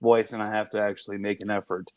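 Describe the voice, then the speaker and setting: A middle-aged man with a high, nasal voice, co-hosting a casual podcast chat. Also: level tone, fast, darkish tone, no room sound, studio quality. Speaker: podcast co-host.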